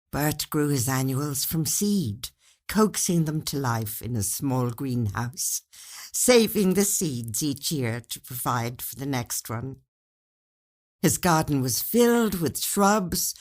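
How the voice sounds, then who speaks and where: A woman narrating a short story in an expressive, measured storytelling manner. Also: sits low. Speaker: story reader.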